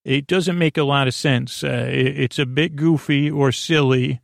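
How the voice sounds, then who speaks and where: A man with a gruff, very creaky voice delivers a meandering bedtime monologue as a sleep podcast host. He talks haltingly and wanders into tangents. The sound is dry and close, at an average male pitch. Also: fairly even intonation, dry recording. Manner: halting and digressive, trailing into tangents.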